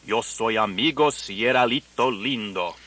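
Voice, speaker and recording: A man playing an earnest language teacher in a radio parody, enunciating deliberately in mock foreign phrases. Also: lowish voice, a bit bright. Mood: instructive. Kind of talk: parody of a broadcast language lesson.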